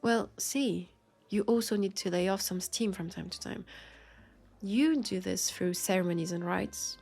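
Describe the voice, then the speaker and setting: A woman with a French accent playing a wary, grieving, centuries-old vampire detective in an intimate audio roleplay, speaking softly and pensively with pauses. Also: mid-range voice. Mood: curious, calmer. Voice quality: breathy, soft. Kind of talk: intimate scripted audio roleplay, addressed to listener. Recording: music under the voice. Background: music.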